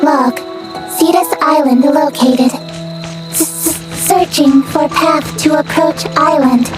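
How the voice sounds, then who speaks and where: Game android girl companion; high register, formal, literal, clipped reports, over loud music. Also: steady tone, slow, low-quality recording. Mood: flat, literal. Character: young female servant robot companion, polite and literal. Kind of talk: video game voice acting.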